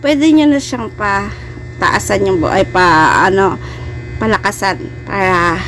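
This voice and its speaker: A woman's voice: mid-range voice, expressive, a little slow, slight background noise. A Filipino woman hosting a casual, friendly and chatty step-by-step phone editing tutorial for beginners, speaking politely to her viewers. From Philippines. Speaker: host of a video editing tutorial channel.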